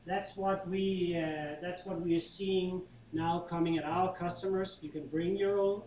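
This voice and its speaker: A middle-aged man with a Danish accent, giving a relaxed, chatty tech talk with many fillers and restarts. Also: sits high, a little slow, slight background noise. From Denmark. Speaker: conference presenter, consultant. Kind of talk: user group tech presentation. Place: meeting room. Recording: echoey room, distant mic, dull lo-fi sound.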